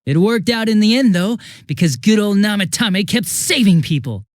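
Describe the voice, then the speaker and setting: An actor playing a smug, mocking young detective turned murderous villain in a video game, swinging theatrically between bored drawl and giddy taunts. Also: male voice, sits high, big pitch swings, normal speed, no room sound, clean studio sound. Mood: smug, recounting.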